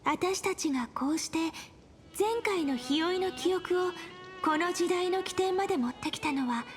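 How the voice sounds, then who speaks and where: A woman voicing a playful, bubbly young woman in a game, with a high, sweet voice. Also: lively intonation, fast talker. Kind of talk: Japanese game voice acting. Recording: game audio with music behind.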